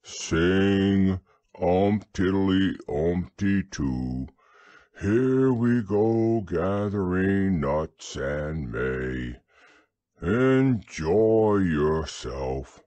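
A mopey old donkey with a low, sighing drawl, as a male reader puts him on. He speaks very slowly with dry sarcasm and a husky edge. The storybook voice is close and dry. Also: very animated, darkish tone, no echo, heavily acted. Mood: gloomy. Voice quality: low, slow, drawn-out sighing voice. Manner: morose, dryly sarcastic.

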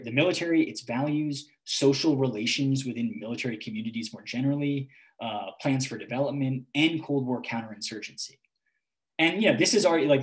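A young man giving an academic talk and answering questions over a video call, hesitant and full of "like" and "kind of". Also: mid-range voice. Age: young adult. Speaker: guest lecturer. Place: home room with guitars on the wall. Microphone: laptop webcam mic on video call.

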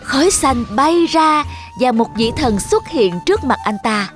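A woman's voice: high-pitched, expressive, a little slow, soft background music. A woman narrator reading a scripted fairy tale for children.

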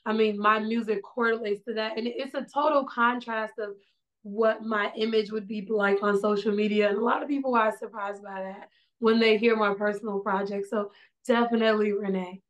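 A woman's voice: medium pitch, normal speed, muffled recording. A woman, chatting casually as a songwriter guest over a video call and gesturing as she talks. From South Side of Chicago. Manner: animated, gestures a lot while talking. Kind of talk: casual interview chat. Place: small indoor room.

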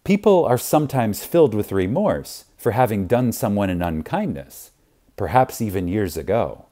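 A man reads an audiobook in a low, mellow voice with widely varied intonation. His delivery is reverent and emphatic. He leaves deliberate pauses for effect. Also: sing-song. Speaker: audiobook narrator. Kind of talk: scripted audiobook narration.

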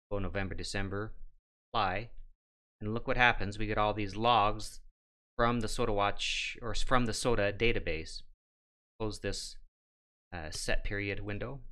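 A middle-aged man hosting a how-to show, walking through steps in a plain, instructional and somewhat halting way. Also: on the low side, pushed and bright. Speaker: host of a ham radio how-to show. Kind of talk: step-by-step tutorial narration.

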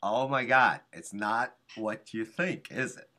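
A man's voice: lowish voice, takes it slowly, pushed and bright. A middle-aged man hosting a casual video call interview from a small office, chatty and enthusiastic. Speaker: interview show host. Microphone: webcam on a video call.

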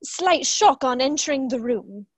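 High, slow, deferential secretary played by a woman. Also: animated, no room sound. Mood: shaken, apologetic. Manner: halting, formal. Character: stiff, deferential, flustered private secretary. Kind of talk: online play reading. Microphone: earphones on a video call.

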